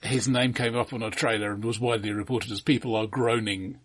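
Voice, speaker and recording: A man co-hosting a podcast, talking casually about films and haltingly repeating the first words of his sentences. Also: mid-range voice, fairly even intonation, slightly rough, no echo. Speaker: podcast co-host. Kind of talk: casual film discussion.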